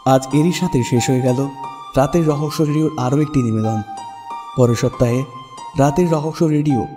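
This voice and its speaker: A man's voice: medium pitch, normal speed. A man narrating a scripted horror story dramatically for a radio show. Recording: long reverb over music. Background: background music.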